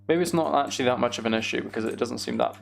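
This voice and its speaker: A man streaming a game, chatting casually and thinking aloud in an unhurried way as he plays. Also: medium pitch, vocal fry. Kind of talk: casual gaming livestream chat.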